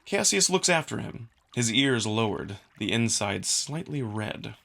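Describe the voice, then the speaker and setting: A man's voice: medium pitch, lively intonation, unhurried, creaky, a bit bright. A man reading scripted first-person narration for a visual novel as the narrator.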